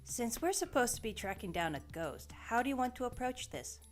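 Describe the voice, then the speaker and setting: A woman's voice: a little high, sing-song, fairly quick. A woman playing a practical, caring middle-aged investigator in an audio drama, talking in a plain, conversational way. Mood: businesslike. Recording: music behind the voice.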